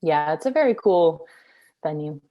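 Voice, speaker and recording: A woman's voice: sits low, animated, moderate pace, muffled recording. A young woman, a singer-songwriter, chatting casually in an interview, rambling with many fillers.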